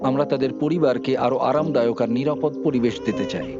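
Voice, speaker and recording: A man's voice: mid-range voice, fast, lightly acted. A man dubbing an earnest male charity field organizer in a documentary. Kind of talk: dubbed documentary commentary. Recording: muffled audio under music. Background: music.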